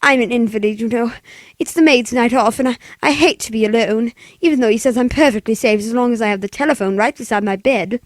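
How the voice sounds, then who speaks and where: A woman's voice: a little high, quick pace, no echo, lightly acted. A woman playing an anxious, demanding bedridden wife in 1940s radio play style, with fretful, stammering, melodramatic delivery. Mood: anxious, insistent.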